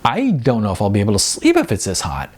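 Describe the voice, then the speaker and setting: A man's voice: on the low side, huge pitch swings, fast talker, breathy edge, full character voice. A man voicing a dramatic, playful young woman in an audiobook, with exaggerated, informal delivery. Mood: complaining.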